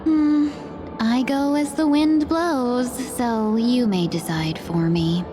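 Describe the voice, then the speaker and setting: Game role, dreamy lady: high voice, languid slow delivery, gentle and yielding. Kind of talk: video game voice acting. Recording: game music behind the voice.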